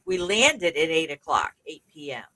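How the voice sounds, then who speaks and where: Bright-voiced woman telling a trip story. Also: deep voice, normal speed. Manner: relaxed storytelling with many fillers. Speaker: guest. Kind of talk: casual conversation.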